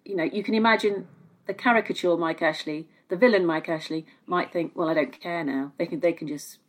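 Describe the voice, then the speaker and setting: Female guest pundit, British, slightly low register, conversational opinion with halting, repeated phrases. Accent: British English. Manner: hesitant phrasing, repeats words for emphasis. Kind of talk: conversational opinion, podcast discussion.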